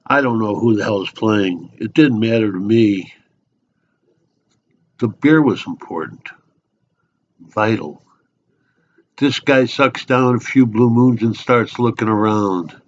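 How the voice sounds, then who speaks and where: A man's voice: on the low side, measured pace, long pauses. Man acting a bitter bar loner, muffled sound. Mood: contemptuous. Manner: sullen, terse, weary storytelling. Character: bitter, foul-mouthed loner drinking at a bar. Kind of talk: dramatic monologue, first-person storytelling.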